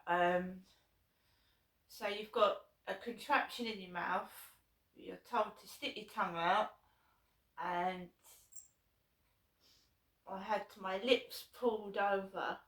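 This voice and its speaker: A hoarse woman with a sore throat, telling a rambling personal story to her vlog audience, repeating herself and swearing freely. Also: mid-range voice, steady tone, slow pace, pauses often, a bit bright. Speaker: vlogger. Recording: echoey room, voice from a distance.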